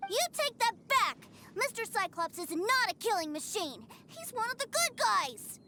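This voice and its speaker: Little kid boy in a game, really high voice, earnest n proud, bouncy intonation. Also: big pitch swings, normal speed, background noise, heavily acted. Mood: indignant. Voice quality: high childlike voice. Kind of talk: video game voice acting. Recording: game music behind.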